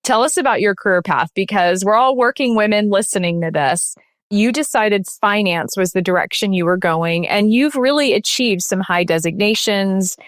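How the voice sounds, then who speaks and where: A woman's voice: medium pitch, normal speed, dry recording. Woman host with a dry, close voice. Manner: conversational, affirming, rephrases questions. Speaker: podcast host. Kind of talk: interview questions.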